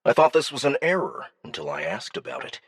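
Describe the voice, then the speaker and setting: A man voicing a cunning alien dignitary in an audiobook, probing with languid, deliberate menace. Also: mid-range voice, sing-song, creaky, husky, dry recording, studio quality, pitched above the performer's own voice, full character voice. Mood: coolly curious.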